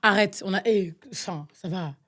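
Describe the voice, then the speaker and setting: A woman's voice: mid-range voice, moderate pace, a bit bright. A young woman from Nigeria co-hosting a podcast, chatting through a guessing game, thinking aloud with lots of fillers and getting exasperated. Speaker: podcast co-host. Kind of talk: casual chat, guessing game.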